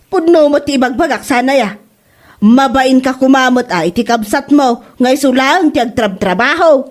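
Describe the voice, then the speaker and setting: A woman acting in a comedic audio drama as an exasperated mother who nags and scolds. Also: moderate pace. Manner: exasperated and nagging, rises sharply in pitch. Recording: music bed behind the voice.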